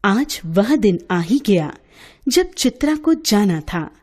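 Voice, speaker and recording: A woman's voice: mid-range voice, very animated, takes it slowly, a little mellow. A woman storyteller giving a scripted narration of a moral story.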